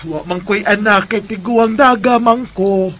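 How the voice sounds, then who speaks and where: An actress with a Visayan Filipino accent playing a kind, generous older single woman in a radio drama, gentle and reassuring. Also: medium pitch, measured pace, a little hiss or hum, close to an everyday voice. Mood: kindly, reassuring.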